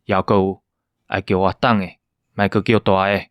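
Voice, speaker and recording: A man playing a calm, polite gang boss with a Taiwanese accent in a radio drama. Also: low-pitched, dry recording, natural voice. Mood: quietly commanding. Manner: measured, polite, unruffled. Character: genteel, calm, scar-faced gang boss with quiet authority.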